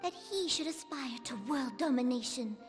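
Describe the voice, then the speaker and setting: A woman's voice: sits high, animated, normal speed, faint music behind. A woman playing a shrewd, knowing scholar in a fantasy game, with precise, formal, old-fashioned diction. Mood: cool, knowing.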